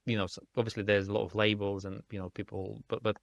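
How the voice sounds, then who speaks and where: A man from Russia whose first language is Russian, speaking English in a thoughtful, halting way with many restarts as an indie game developer guest. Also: fairly low pitch, little pitch movement, creaky, no room sound, highs cut off. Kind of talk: casual interview conversation. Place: lives in the UK.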